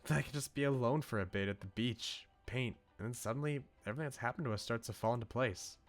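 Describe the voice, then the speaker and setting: A man voicing a wistful, gentle young woman from a visual novel, in a musing manner. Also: mid-range voice, fast, natural voice. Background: game music.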